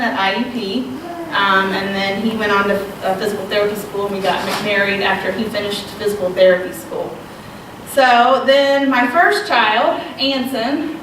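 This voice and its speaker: Woman, 30s, slight Southern accent, conversational retreat speaker, distant mic, hissy background. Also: mid-range voice. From Southwest Virginia. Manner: conversational, self-deprecating, with frequent ums. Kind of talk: women's retreat talk. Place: large echoey room. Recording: very echoey, distant, noisy room recording.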